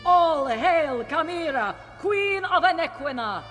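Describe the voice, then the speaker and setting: A solemn catfolk priestess from a fantasy game. Her high voice swoops as she proclaims, ringing in a long hall reverb. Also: expressive, slow pace, fairly bright tone, faint music behind, heavily acted. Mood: solemn, ceremonial. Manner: ceremonial, proclaiming. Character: solemn catfolk priestess presiding over a coronation. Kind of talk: scripted video game voice acting.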